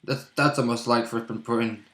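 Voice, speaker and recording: A man's voice: mid-range voice, steady tone, brisk, a bit bright. A man chatting casually as a player in a tabletop game, with wry interjections. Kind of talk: casual chat. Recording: echoey room.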